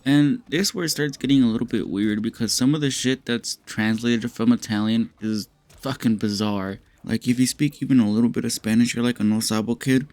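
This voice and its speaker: A young man with a slightly raspy voice giving casual, rambling commentary, swearing freely and sounding amused. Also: mid-range voice, steady tone, fairly quick, darkish tone, dry recording. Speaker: commentary YouTuber.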